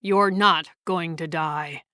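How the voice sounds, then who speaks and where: A woman voicing a brisk, commanding male surgeon in a short story reading. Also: lowish voice, dry recording, studio quality. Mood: gentle. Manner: brisk, commanding, later gentle. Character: calm authoritative male surgeon.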